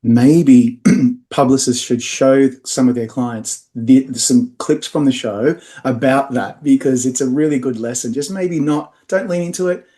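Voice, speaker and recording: A man's voice: medium pitch, a little slow, dark tone. A man hosting a podcast interview, asking chatty questions with enthusiasm and easy laughter. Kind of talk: casual interview.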